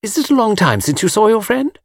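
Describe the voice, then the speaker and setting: Man putting on a high, gushing young lady. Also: very animated, brisk, no echo, clean studio sound, full character voice. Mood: fascinated. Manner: coy, breathless, exclamatory. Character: gushing, coquettish young woman tourist, easily impressed. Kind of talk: audiobook character voice.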